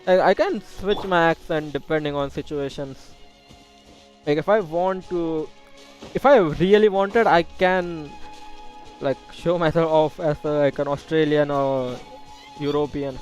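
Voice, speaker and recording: Chatty man streaming a game, slightly high voice. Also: a little slow, darkish tone, dull audio. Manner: chatty, muttering asides while playing. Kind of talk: casual gaming commentary. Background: faint game music.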